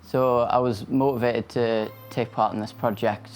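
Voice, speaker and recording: A man's voice: medium pitch, unhurried, soft background music. A man, a technical diver giving interview answers full of "um"s and restarts. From Sunderland, England. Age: late 20s. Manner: flat, many "um"s and restarts.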